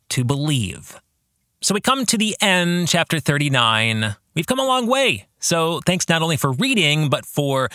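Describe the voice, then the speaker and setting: A man's voice: on the high side, bright, forceful tone, no echo, studio quality. A man hosting a podcast in a reflective monologue, speaking to listeners with earnest, emphatic phrasing. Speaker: podcast host and author, reading own book.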